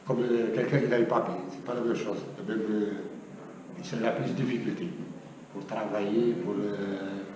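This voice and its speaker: A man being interviewed, giving a halting personal account and often searching for words. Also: fairly low pitch, little pitch movement. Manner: hesitant, frequent searching for words. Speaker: interviewee, undocumented migrant. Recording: distant, echoey hall with clear noise.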